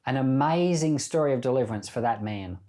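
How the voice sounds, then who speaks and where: A man's voice: medium pitch, expressive, a little fast, mellow. A man speaking as a pastor, giving informal Bible teaching in a reflective, conversational storytelling manner.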